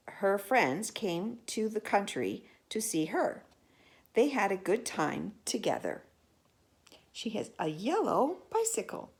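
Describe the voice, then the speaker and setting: Slow, animated woman reading to children. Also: medium pitch. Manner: expressive, asks listeners questions about pictures. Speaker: storyteller. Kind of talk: children's picture-book read-aloud.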